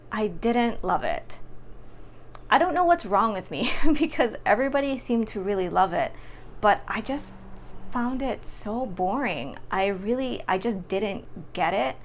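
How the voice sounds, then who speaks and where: Young woman chatting, clearly noisy recording. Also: on the high side, animated, slow pace, pauses often. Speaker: book reviewer vlogger. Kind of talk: casual chatty book review to camera. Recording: clearly audible background noise.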